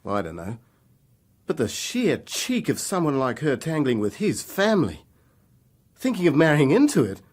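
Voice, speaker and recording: A man's voice: a little high, huge pitch swings, normal speed. An actor in a radio drama playing a troubled young man on the run, speaking in a hesitant, confessional way. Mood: bitter, guilty.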